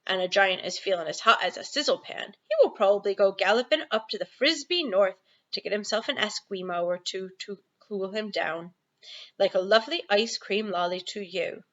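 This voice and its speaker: A woman's voice: medium pitch, expressive, normal speed, a bit bright, highs cut off, natural voice. A woman voicing a friendly, boastful storybook giant who talks in mangled grammar and invented comic words. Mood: knowing, gleeful.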